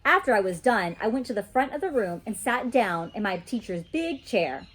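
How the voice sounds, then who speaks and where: A woman reading a children's story aloud in an animated, childlike storytelling manner. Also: fairly high pitch, normal speed, husky, low-quality recording.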